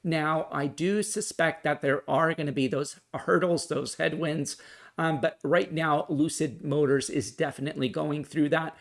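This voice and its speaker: A man's voice: a little high, fairly even intonation, unhurried, gravelly. A man hosting a stock market video, explaining and commenting in an earnest, emphatic way that circles back to key points. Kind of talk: explanatory financial commentary to viewers.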